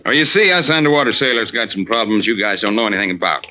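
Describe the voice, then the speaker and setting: An actor playing a proud, middle-aged Navy deep-sea diver and father in an old-time radio drama, gruff, wry and clipped in manner. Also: fast talker, bright, forceful tone, a little hiss or hum. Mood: wry banter. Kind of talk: old-time radio drama acting with first-person narration. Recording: dull, band-limited old radio recording.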